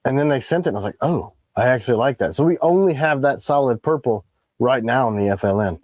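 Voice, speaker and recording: A man with a raspy voice, tired in the morning, co-hosting a casual product livestream and explaining the details of the items he shows. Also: medium pitch, animated, fast, a little mellow, dry recording, studio quality. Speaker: co-host of a knife company livestream. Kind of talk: casual live chat about products.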